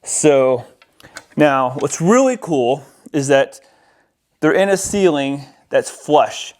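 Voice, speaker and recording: A man's voice: a little high, expressive, takes it slowly, darkish tone. An American man giving a casual, explanatory product unboxing and demonstration in an informal, somewhat hesitant way. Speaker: audio-video installer presenting a product. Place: indoors in a house, near a window.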